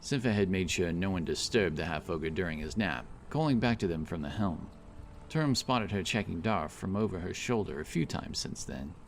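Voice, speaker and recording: A man's voice: deep voice, expressive, moderate pace, a little hiss or hum. A man narrating a scripted fantasy story as an audiobook reader. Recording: clear music bed behind the voice. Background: background music.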